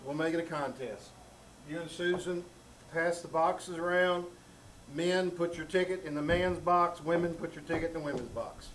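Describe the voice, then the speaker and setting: A man's voice: on the high side, steady tone, normal speed, slight background noise, low-quality recording. A man leading a troupe's live stage banter, exasperated and stammering through repeated words. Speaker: troupe leader emceeing a live show. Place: theater stage before a live audience. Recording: live stage recording with loud audience. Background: audience laughter.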